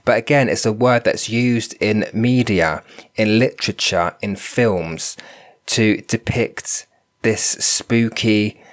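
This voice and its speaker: A man's voice: on the low side, slow. Congested nasal man with a cold. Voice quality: nasal, bunged up. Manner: thoughtful, repeats words while thinking. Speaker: podcast host, spiritual medium. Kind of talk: solo podcast talk.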